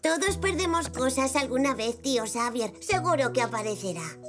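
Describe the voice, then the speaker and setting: Eager high-voiced little zebra boy. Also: lively intonation, moderate pace. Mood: friendly. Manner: eager, wide-eyed. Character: curious, imaginative five-year-old boy zebra foal. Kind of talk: children's cartoon dub. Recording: music behind.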